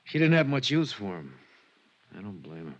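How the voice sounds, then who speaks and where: A cool, cagey young bandleader in an old radio drama. His voice sits fairly low, and he answers in short, slangy bursts. The recording is muffled vintage radio. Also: very animated, normal speed. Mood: guarded, dismissive. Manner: slangy, clipped, guarded. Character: cool, cagey young male jazz trumpeter. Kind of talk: 1950s radio drama acting.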